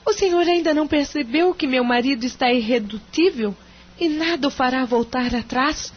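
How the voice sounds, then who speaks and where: Refined, heartbroken young Brazilian wife played by an actress, high voice, melodramatic delivery, muffled recording. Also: female voice, moderate pace. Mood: bitter, despairing. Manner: melodramatic, sorrowful, formal. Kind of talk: audio drama acting. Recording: muffled lo-fi audio.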